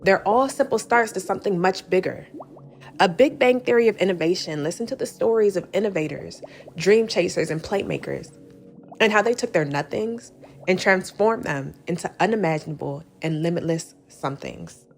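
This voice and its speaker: Measured woman narrating an intro. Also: on the low side, expressive, soft background music. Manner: measured, inspirational. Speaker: show narrator. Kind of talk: scripted narration.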